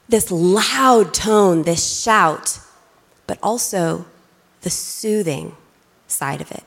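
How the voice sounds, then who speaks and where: A young adult woman preaching a lively, excitable sermon to her congregation from a church stage. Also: a little high. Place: large church auditorium. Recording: reverberant church hall.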